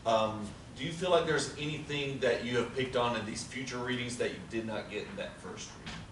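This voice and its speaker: A man's voice: mid-range voice, fairly bright tone. Polite man moderating, in an echoey room. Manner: polite, measured, thanks each speaker. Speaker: discussion moderator. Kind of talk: facilitating a feedback session. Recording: echoey room, distant mic, noisy.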